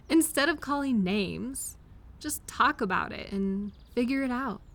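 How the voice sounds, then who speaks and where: A woman playing an affectionate, reassuring friend or partner in an intimate comfort audio roleplay, speaking gently and directly to the listener. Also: on the high side, animated, slow. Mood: encouraging, tender.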